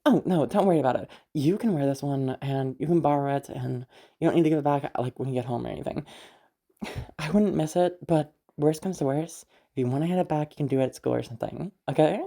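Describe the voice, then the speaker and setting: A young woman acting in an audio roleplay as a caring, teasing party host, talking in a rambling, hesitant, softly reassuring way. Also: deep voice, a little fast, creaky. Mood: friendly, rambling. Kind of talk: audio roleplay, naturalistic one-sided dialogue.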